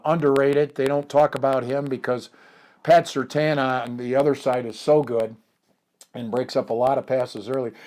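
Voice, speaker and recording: Middle-aged male sports host, chatty and loose, lots of "uh"s and restarts, mid-pitched voice. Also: darkish tone. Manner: chatty, many fillers and restarts. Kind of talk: casual sports talk and interview.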